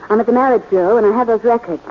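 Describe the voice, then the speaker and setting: A woman playing the hero's brisk, matter-of-fact girlfriend over a telephone line in 1940s radio acting. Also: fairly high pitch, slight background noise. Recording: tinny phone line on old radio broadcast.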